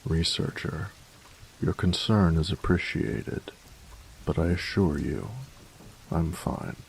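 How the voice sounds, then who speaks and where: Guy doing a grumpy tired inventor for audio roleplay, super low gravelly voice, stiff formal wording, slow n careful. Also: slight background noise, full character voice, low-quality recording. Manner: formal, stilted, precise diction with hesitant pauses. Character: grumpy, workaholic male artificer, formal, tired and reserved. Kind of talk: audio roleplay addressed to the listener.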